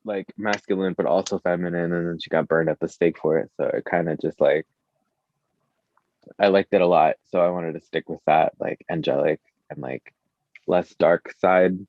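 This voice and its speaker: A man's voice: low-pitched, fairly even intonation, normal speed, no echo, phone-quality sound. A man chatting casually as an interview guest, a musician with an informal, slangy, hesitant way of talking, saying "like" constantly. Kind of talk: casual chat.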